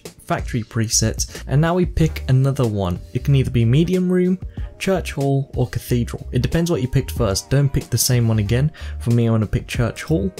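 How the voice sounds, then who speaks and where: A young man narrates a how-to video as its host, going through each step in turn. His voice is mid-pitched and closely miked, with faint music underneath. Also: mellow. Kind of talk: step-by-step software tutorial narration.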